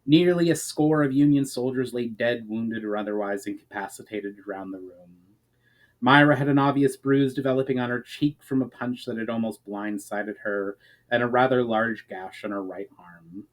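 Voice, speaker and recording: A man's voice: medium pitch, moderate pace, low-quality recording. A man narrating a fantasy story as a dramatic reading, stressing the starts of phrases and letting the ends fall away, with the odd stumble. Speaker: writer reading own fantasy chapter. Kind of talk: dramatic audiobook-style narration.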